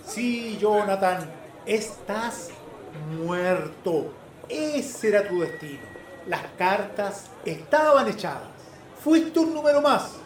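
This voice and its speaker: Pompous stump orator male actor, high voice, drawn-out delivery, echoing before a small crowd. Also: exaggerated voice. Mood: cold, solemn. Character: pompous, opportunistic male politician making a stump speech. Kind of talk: slow campaign oratory. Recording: echoey, distant, faint crowd.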